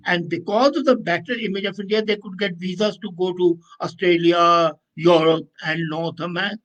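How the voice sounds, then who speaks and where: Male commentator, notably high voice, emphatic and opinionated, off-the-cuff delivery. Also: fairly even intonation, moderate pace, fairly bright tone, muffled recording. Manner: emphatic, opinionated, self-correcting. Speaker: commentator and host answering viewer comments. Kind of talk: unscripted political commentary.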